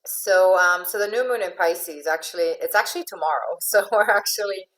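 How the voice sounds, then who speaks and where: A low-pitched woman from Finland who grew up in Venezuela talks to an online audience as an astrological life coach. She speaks loosely and conversationally, with frequent fillers and restarts. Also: moderate pace, fairly bright tone, poor audio. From Finland, raised in Venezuela. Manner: chatty with many fillers and restarts, laughs often. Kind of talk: informal talk to an online audience.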